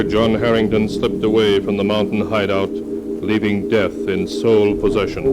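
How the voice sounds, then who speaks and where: A man acting as the ominous narrator of a vintage radio mystery. His low voice is solemn and deliberate, with dramatic weight. The old recording carries clear background noise. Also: close to an everyday voice.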